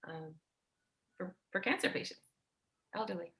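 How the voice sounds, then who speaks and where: An actress playing a tired, protective, foul-mouthed older sister in a naturalistic staged play reading, hesitant, guarded and blunt. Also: female voice, fairly low pitch, a little slow, gravelly, a bit bright, reverberant. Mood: weary, reluctant.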